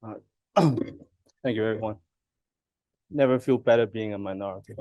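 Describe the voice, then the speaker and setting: A man's voice: fairly low pitch, moderate pace, dry recording, muffled recording. A man from Hong Kong gives a personal acceptance speech on stage, hesitant, self-deprecating and choked with emotion. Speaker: honored artist giving an acceptance speech. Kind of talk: halting, personal thank-you speech. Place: ceremony stage.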